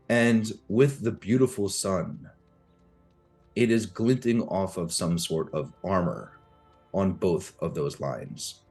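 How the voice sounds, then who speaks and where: Very low male game master voice, unhurried and halting, faint music behind. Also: darkish tone. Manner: slow, hesitant, descriptive, many fillers. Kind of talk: narrating a tabletop game.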